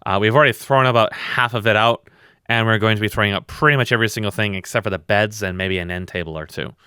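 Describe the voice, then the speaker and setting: Blunt, loud-voiced man, dry close mic. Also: lowish voice, fast, smooth, dry recording. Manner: blunt, swears often, rambling. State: very tired from lack of sleep. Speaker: podcast co-host. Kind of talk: casual podcast chat.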